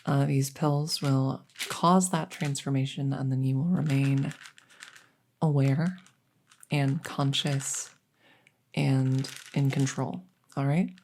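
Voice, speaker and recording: A woman playing a kindly, rambling vet in an ASMR audio roleplay, explaining things softly and hesitantly with lots of reassurance. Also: very deep voice, fairly even intonation, slow, pauses often, slight background noise, heavily acted. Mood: gentle, clinical. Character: kindly, rambling female vet for supernatural patients. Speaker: voice roleplay performer.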